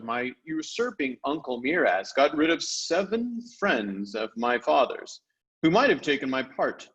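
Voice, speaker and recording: A man's voice: mid-range voice, dull audio. A man voicing a confident, eager young seafaring king in a storybook, with a declamatory manner. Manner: animated, declamatory.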